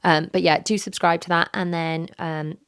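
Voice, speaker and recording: A hoarse woman in her thirties talks straight into a microphone in her home office, rambling candidly with plenty of fillers. Her voice sits low and rough with a gravelly fry, and she sounds worn out from being ill. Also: little pitch movement, moderate pace. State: hoarse, recovering from illness. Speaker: podcast host. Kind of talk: casual solo podcast chat. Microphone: studio microphone.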